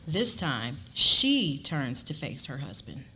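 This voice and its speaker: A woman's voice: sits low, sing-song, slow, bright, forceful tone. A woman narrating a scripted story expressively at a live dramatic reading. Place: live event before an audience. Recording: live reading with clear ambient noise.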